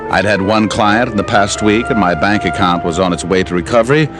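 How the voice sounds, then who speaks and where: An actor playing a wry, easygoing private detective in an old radio drama, narrating and talking in a dry, laid-back way. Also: male voice, lowish voice, fairly quick, close to an everyday voice. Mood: drowsy, content. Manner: dry, laid-back first-person storytelling. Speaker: actor in the lead role and narrator. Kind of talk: 1940s-50s radio detective drama acting. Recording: old radio recording with music behind.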